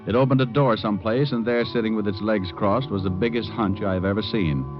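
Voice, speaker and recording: An actor playing a tough, wisecracking American private detective in an old radio noir drama, wry and menacing. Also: male voice, on the low side, fairly quick, natural voice. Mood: wry narrating. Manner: wry, hardboiled. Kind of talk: 1940s-50s radio acting, hardboiled noir narration. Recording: muffled old-time radio recording with music.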